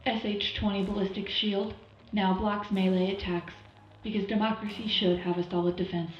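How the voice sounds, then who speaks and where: Casting: woman as upbeat patriotic radio newsreader, punchy propaganda delivery, mid pitch, faint music behind. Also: flat delivery, normal speed, reverberant, muffled recording, lightly acted. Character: patriotic female wartime radio news presenter, upbeat and zealous. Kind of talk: scripted in-universe radio news update.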